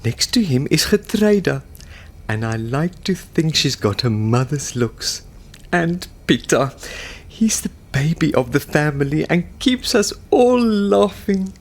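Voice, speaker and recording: A man voicing a wistful, doting parrot puppet in an earnest comic monologue, with a South African accent and a husky voice. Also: a little high, sing-song, slow, full character voice. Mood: proud, fond.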